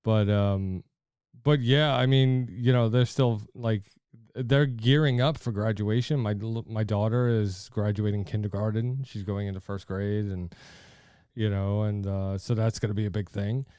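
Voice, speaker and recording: Smooth-voiced, giggly male podcast host. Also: on the low side, fairly even intonation, a little slow, a little mellow, no room sound, clean studio sound. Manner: giggly, friendly. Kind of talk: casual phone chat.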